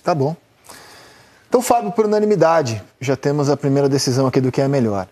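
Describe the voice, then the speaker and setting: A man with a Brazilian accent hosting a televised football debate, brisk, calling on each panelist by name. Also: medium pitch, animated, warm tone. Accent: Brazilian Portuguese. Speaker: TV sports show host. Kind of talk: sports panel discussion.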